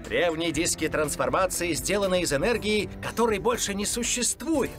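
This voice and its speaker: An eager cartoon mentor and adventurer. His voice moves in lively swings as he explains, with clear music behind him. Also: high-pitched, normal speed. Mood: explaining. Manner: explanatory, animated. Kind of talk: animated series dub. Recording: music playing under voice.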